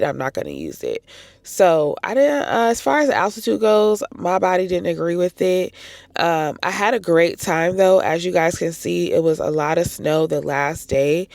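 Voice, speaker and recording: Raspy, gravelly American woman chatting. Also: on the low side, darkish tone, no echo, clean studio sound. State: hoarse from recent congestion and cough. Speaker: travel vlogger. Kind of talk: casual chatty trip recap to viewers.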